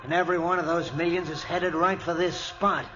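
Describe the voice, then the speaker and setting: An actor playing a blunt, matter-of-fact helper in a 1940s radio drama. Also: male voice, fairly high pitch, fairly even intonation, a little fast, music in the background, close to an everyday voice. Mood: tense. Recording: old radio recording with clear hiss.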